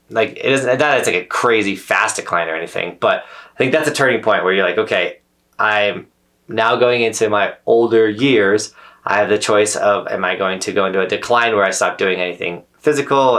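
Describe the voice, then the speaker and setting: A man's voice: lowish voice, fairly bright tone. Earnest man in his thirties. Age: 30s. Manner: earnest, reflective, loses his train of thought. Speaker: podcast co-host. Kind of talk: casual chat.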